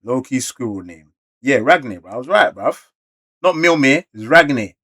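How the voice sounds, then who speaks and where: Male reaction creator, London British accent, casual and slangy, slightly rough-edged voice, excitable outbursts alternating with muttered comments. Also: medium pitch, moderate pace. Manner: slangy, animated exclamations mixed with muttered asides. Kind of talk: casual manga read-along reaction.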